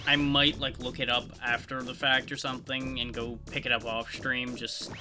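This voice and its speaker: A man streams a video game in a casual, conversational voice of middling pitch. He thinks aloud and explains as he plays, with game music clearly playing behind him. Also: level tone, moderate pace. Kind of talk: casual live gameplay commentary.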